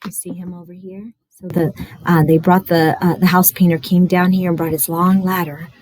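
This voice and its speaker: A woman reading a story aloud to young children, expressive and dramatic, with instructive explanatory asides. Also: on the low side, moderate pace.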